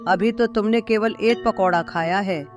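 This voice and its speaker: Man as an eerie, coaxing high-voiced ghost woman. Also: moderate pace, music in the background, close to an everyday voice. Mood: sly, coaxing. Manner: coaxing, creepy.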